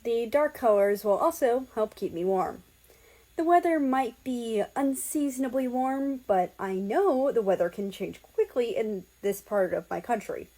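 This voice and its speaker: A woman's voice: fairly high pitch, animated, a little slow, warm tone, poor audio. A woman reading fan fiction aloud as an amateur audiobook-style narrator, telling it in the first person.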